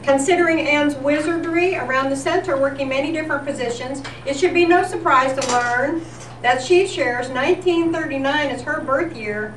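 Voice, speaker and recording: A woman's voice: high-pitched, moderate pace, fairly bright tone. A woman hosting a live show, reading scripted announcements in the style of an old-time radio newscast. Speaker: host of a live radio-themed tribute show. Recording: distant echoey live event, noisy.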